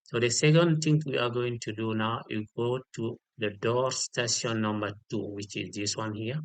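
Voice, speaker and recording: A man giving an unscripted step-by-step software tutorial, repeating key details and often checking in with a short okay. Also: mid-range voice, steady tone, normal speed, no echo. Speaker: tutorial instructor.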